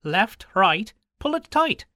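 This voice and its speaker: A man voicing a merry old male leprechaun shoemaker in an Irish accent, chanting in a high sing-song. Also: big pitch swings, slow, sharp tone, no echo, studio quality, exaggerated voice.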